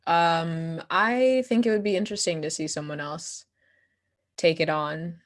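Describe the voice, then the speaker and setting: A woman guest performer answering questions in a casual, expressive, slangy and giggly way at a post-show talkback. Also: low-pitched.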